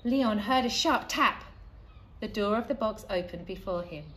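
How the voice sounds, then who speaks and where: A middle-aged woman reading a children's storybook aloud expressively, with deliberate, dramatic pauses. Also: mid-range voice, a bit bright. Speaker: primary school staff member reading aloud. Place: outdoors in a leafy school playground. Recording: faint outdoor ambient noise.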